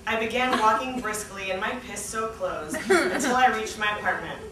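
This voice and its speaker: A woman's voice: medium pitch, moderate pace. Woman reading aloud, distant roomy noisy recording. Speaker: guest reader at a book launch. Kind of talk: live comedic reading aloud of a memoir. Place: small theatre stage at a lectern, indoors. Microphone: distant camera.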